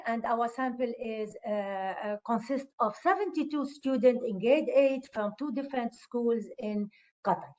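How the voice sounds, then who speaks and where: Woman reading out study stats, lots of uhs, kinda high voice, muffled lo-fi sound. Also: a little mellow. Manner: reports statistics with frequent hesitations. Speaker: conference presenter. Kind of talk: academic presentation of research results.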